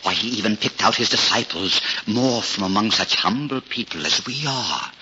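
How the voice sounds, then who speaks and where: An actor playing a humble, pious old shoemaker in a 1940s radio drama, earnest and halting, often repeating himself. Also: male voice, lowish voice, animated, pushed and bright, slightly rough, exaggerated voice. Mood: fervent. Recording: noisy, muffled 1940s radio recording.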